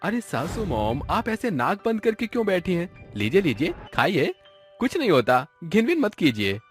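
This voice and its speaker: Spoiled young son-in-law, played by a man, sly, wheedling, pushy, highish pitch, very animated, cartoon story. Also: moderate pace, soft background music.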